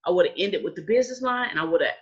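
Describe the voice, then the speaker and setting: A woman's voice: fairly low pitch, expressive, racing, muffled recording. A woman giving an online training session in a casual, chatty way, often checking in with "okay?". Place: indoors, on a video call. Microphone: video call.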